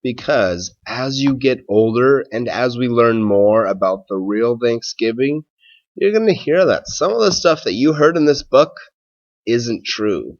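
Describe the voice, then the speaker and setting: A man who is a schoolteacher doing a read-aloud for children and talking to pupils in a measured, simple way. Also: medium pitch, animated, highs cut off.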